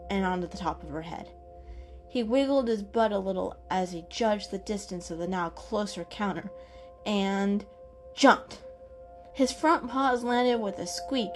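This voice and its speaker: A woman narrating a children's story as a scripted dramatic reading. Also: medium pitch.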